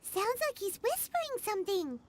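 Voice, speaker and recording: A woman voicing a tiny, excitable childlike fairy companion with a squeaky voice in a video game. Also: moderate pace, background noise. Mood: worried, curious.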